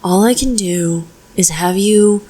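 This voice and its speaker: A woman's voice: medium pitch, slow pace, husky. Woman acting a caring, frank witch rescuer. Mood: worried, soothing. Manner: gentle, reassuring, conversational. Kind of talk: intimate audio roleplay acting, addressing listener.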